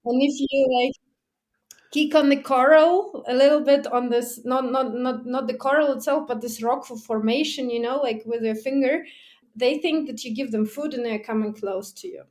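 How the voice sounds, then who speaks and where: A woman's voice: high voice, normal speed. A woman being interviewed about her diving, talking casually with frequent laughter and acting out her own remarks. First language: not German. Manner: lively, laughs often, acts out her own past remarks; restarts and fillers like "like". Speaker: guest, technical diver and underwater photographer.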